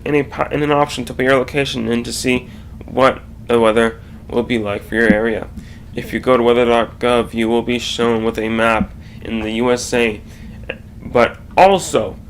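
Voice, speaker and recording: Guy reading out a slide presentation, kinda stumbly, corrects himself, medium pitch, some faint noise behind him. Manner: halting, stumbling delivery with self-corrections. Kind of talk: scripted slide presentation narration.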